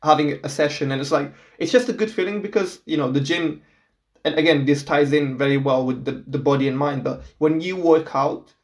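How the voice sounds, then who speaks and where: A man's voice: a little high, a little fast. A young man from Portugal talks in an easygoing, chatty way. He laughs often and repeats his first words before he gets going. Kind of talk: casual chat.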